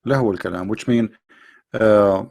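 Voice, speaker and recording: A man's voice: fairly low pitch, unhurried, darkish tone. A middle-aged man hosting a live stream, lecturing emphatically on religion in non-native English with frequent restarts and reading out viewer questions.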